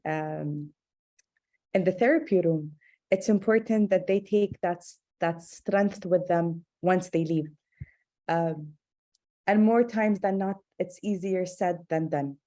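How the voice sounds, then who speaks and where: A woman giving an online talk as an advocate, speaking with a stutter and many pauses. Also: on the low side, mellow. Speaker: guest speaker, advocate for people who stutter. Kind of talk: webinar talk.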